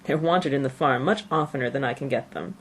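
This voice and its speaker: A woman voicing a dry, sardonic middle-aged gentleman in a classic novel, in a cool, measured manner. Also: rumbling low, a little hiss or hum. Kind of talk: audiobook character reading.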